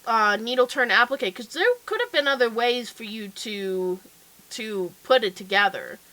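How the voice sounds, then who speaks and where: A woman's voice: a little high, normal speed, bright, forceful tone, low-quality recording. A woman hosting a casual livestream chat, a bit stuffy and nasal from a cold, talking in a chatty, upbeat way. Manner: chatty, upbeat, lots of fillers. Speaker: livestream host.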